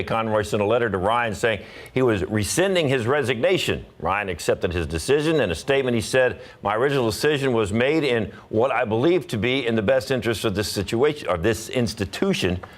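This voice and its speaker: A man with an American accent reading scripted television news as a morning anchor. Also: medium pitch.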